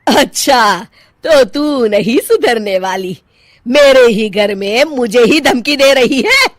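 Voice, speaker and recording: A woman's voice: on the high side, expressive, takes it slowly, no echo, studio quality. A woman voicing a bossy, fearless older mother-in-law in a cartoon moral story, scolding, taunting and commanding. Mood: threatening.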